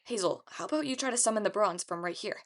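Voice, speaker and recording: A woman's voice: medium pitch, fast, natural voice. A woman voicing a brash, boastful, wisecracking teenage boy hero in an audiobook. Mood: scheming. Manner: boastful, swaggering. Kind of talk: audiobook character acting.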